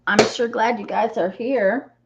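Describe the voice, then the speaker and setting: A woman hosting a craft livestream, chatting casually and greeting viewers by name as she works, her voice slightly croaky from a cold. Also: a little high, steady tone, measured pace, background noise. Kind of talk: casual chat while crafting.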